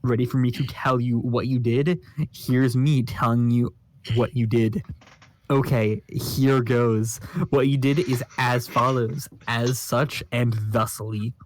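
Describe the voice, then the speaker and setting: A man's voice: mid-range voice, fairly even intonation, slow, lightly acted. A man voicing an abrasive, foul-mouthed young male troll who rants pompous insults, reading a comic aloud. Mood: scornful. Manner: insulting, ranting, pompous wordplay. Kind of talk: comic read-along voice acting.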